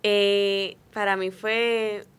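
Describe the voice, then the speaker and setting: Clear-voiced young Puerto Rican woman. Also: mid-range voice, flat delivery, drawn-out words, fairly bright tone. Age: young adult. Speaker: student voice actor, guest. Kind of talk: conversational interview answers.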